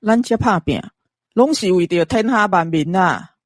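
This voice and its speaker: A woman's voice: medium pitch, expressive, moderate pace, dry recording, studio quality, lightly acted. A woman voicing a humble, hard-working young country companion in a Taiwanese-accented radio drama. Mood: earnest.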